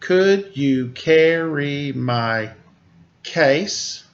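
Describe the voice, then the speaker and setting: A man voicing a polite little panda nephew in a storybook, speaking in deliberate, poem-like phrases. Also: a little high, expressive, lo-fi sound, heavily acted.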